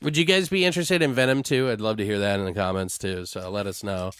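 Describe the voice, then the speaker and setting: A man's voice: lowish voice, fast talker, a bit bright, clean tone. A man hosting a horror podcast in casual, joking, profane banter. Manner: informal, profane, frequent joking. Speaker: podcast host.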